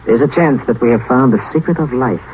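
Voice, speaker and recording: Actor as a suave schemer on tinny radio. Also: male voice, medium pitch, fast, natural voice. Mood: coolly taunting. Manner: suave, mocking, taunting. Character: smug, sardonic scheming male doctor and seducer. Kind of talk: 1950s radio drama acting. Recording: tinny, hissy 1950s radio broadcast.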